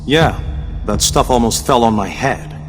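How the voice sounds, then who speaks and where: An actor playing a grave, worried tech expert ally in a video game, earnest and explanatory. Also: male voice, mid-range voice, unhurried, natural voice. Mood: grave concern. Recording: reverberant hall, music behind.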